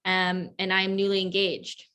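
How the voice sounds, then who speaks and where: A woman in her twenties chats as a podcast guest. She speaks at a middle pitch and peppers her answers with fillers like "like". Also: flat delivery, normal speed, bright, forceful tone, smooth. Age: 20s. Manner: chatty, with lots of fillers like "like". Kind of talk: casual interview chat.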